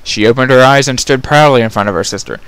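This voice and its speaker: A man's voice: mid-range voice, fast, fairly bright tone, clear, resonant tone, dry recording, low-quality recording. A man narrating a fantasy story as a scripted dramatic reading.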